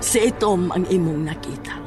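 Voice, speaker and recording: A woman's voice: on the low side, normal speed, close to an everyday voice. A woman playing a stern, commanding, ominous older woman in a horror audio drama. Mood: stern warning. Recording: loud music, voice off the mic.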